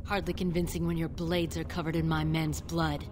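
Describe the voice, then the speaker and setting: A woman's voice: low voice, level tone, normal speed, background noise, close to an everyday voice. A woman playing a ruthless, scornful crime boss in a fantasy video game, with a commanding, menacing manner. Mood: scornful menace.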